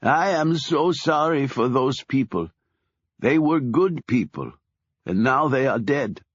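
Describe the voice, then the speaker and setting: A man voicing a timid, tearful Polish immigrant man who speaks halting broken English with a Polish accent, in an audiobook. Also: medium pitch, expressive, no echo, lo-fi sound, pitched above the performer's own voice. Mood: shaken.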